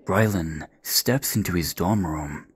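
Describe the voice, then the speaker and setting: A man's voice: sits low, takes it slowly, very noisy. A man reading scripted scene narration for an audio drama.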